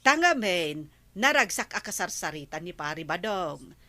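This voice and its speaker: A woman's voice: on the low side, sing-song, normal speed, pushed and bright, no room sound. A woman playing an exasperated, scolding village neighbour with an Ilocano accent in a Filipino radio comedy drama. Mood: nagging.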